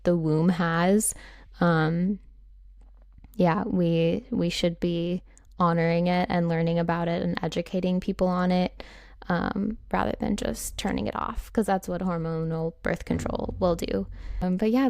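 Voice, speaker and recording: A young woman with a low, smooth, resonant voice chats loosely through a podcast intro, full of fillers. The sound is dry and close, with a gravelly edge. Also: dark tone, dry recording. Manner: rambling, filler-heavy. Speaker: podcast host. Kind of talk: casual intro chat.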